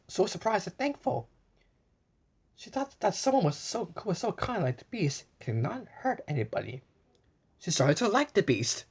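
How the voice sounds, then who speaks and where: A man reads a storybook aloud with lots of animation, his pitch bouncing high and low. His voice is a little husky, and he gasps, stumbles and repeats words as he chimes in with his own asides.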